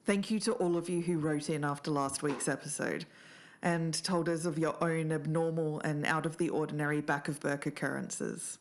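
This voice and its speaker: A low-pitched woman speaks as a podcast co-host. She narrates findings and reads quoted material to the listener in an even, low register. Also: moderate pace, slight background noise.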